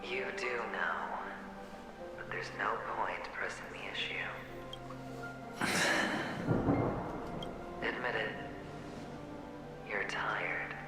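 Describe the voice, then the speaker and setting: An actor playing a gambler's taunting, weary shadow self in a video game, theatrical and breathy, drifting into whispers. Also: male voice, on the low side, drawn-out words, pauses often. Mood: weary, insinuating. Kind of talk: dramatic video game voice acting. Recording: heavy echo under loud game music.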